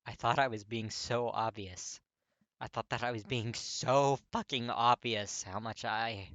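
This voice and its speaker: A man voicing a gruff, hot-tempered young man awkwardly confessing his feelings in an audiobook, blunt, halting and strained. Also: mid-range voice, fairly even intonation, fairly bright tone, no echo. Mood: choked up. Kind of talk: dramatic audiobook character reading.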